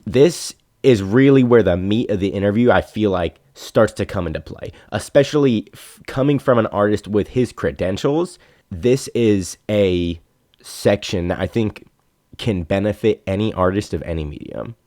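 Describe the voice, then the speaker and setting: A man's voice: on the low side, normal speed, a little mellow, no room sound, studio quality. A man hosting a podcast, asking questions in an easygoing way and narrating between segments. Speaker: podcast host and interviewer. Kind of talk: casual interview and voice-over narration.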